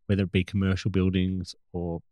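A man hosting an interview, asking questions and adding thoughtful comments in a measured, articulate way. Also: sits low, level tone, dark tone, dry recording. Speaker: interviewer, host.

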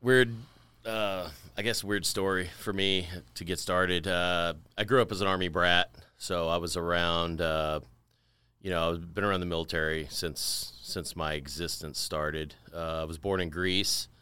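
A man's voice: deep voice, steady tone, measured pace, bright, forceful tone, clean tone. A middle-aged man from Tennessee, a podcast guest telling stories of his life in a rambling casual way with fillers and repeats. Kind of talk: casual interview storytelling.

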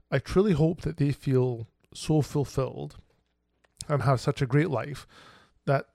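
A man's voice: mid-range voice, darkish tone, no room sound, studio quality. A man hosting his own podcast, talking alone in an earnest, reflective and candid way. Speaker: podcast host. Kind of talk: solo podcast monologue.

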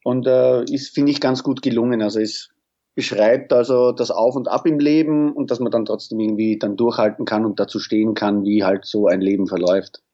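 A man, a guest musician answering radio interview questions in a halting way, searching for words. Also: lowish voice, steady tone, warm tone, lo-fi sound. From Austria. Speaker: guest musician and sound engineer.

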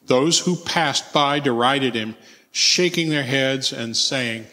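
A man reading scripture solemnly as narrator in a church service, phrase by measured phrase. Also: medium pitch, bright, forceful tone. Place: large reverberant church. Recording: long hall reverb.

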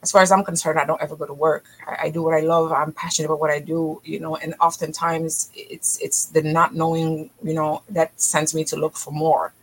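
Woman, middle-aged, from Haiti, low voice, energetic, emphatic, podcast guest. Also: rough recording. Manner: energetic, emphatic, quick bursts with restarts. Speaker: physician guest. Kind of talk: podcast interview.

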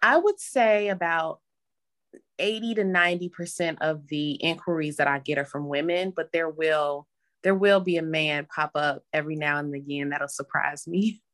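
A woman's voice: sits low, normal speed, a bit bright. A woman, an advice columnist, answering interview questions in a reflective, hesitant way with frequent fillers.

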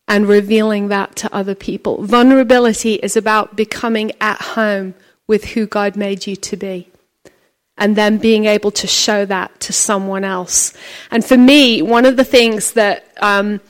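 A woman with a loud voice giving a chatty, storytelling talk as a speaker at a faith conference. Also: medium pitch. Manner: chatty, animated storytelling with acted quotes. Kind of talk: conference sermon.

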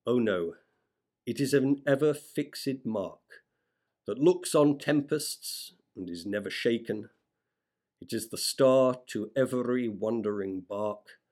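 A man reciting classic poetry as a reader, in a measured, expressive way. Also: lowish voice. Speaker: sonnet reader.

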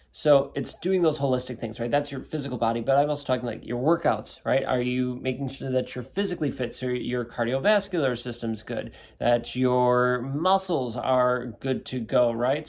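A man's voice: mid-range voice, creaky, darkish tone. A man in his thirties hosting a solo wellness show, talking in a conversational way and often adding "right?" to check in with listeners. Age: 30s. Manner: conversational, keeps asking "right?". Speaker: podcast host and wellness coach. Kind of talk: casual solo talk to listeners.

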